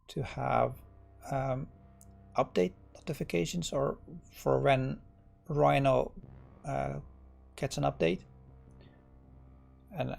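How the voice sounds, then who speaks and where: A middle-aged Dutch man with a Dutch accent, live-coding on a stream and thinking aloud, relaxed and halting with many fillers and restarts. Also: medium pitch, vocal fry. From Netherlands.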